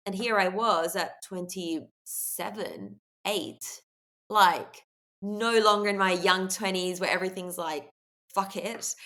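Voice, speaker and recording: A woman's voice: mid-range voice, a bit bright. A woman in her thirties hosting a podcast, talking in a thoughtful, reflective way. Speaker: podcast host. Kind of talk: casual podcast chat.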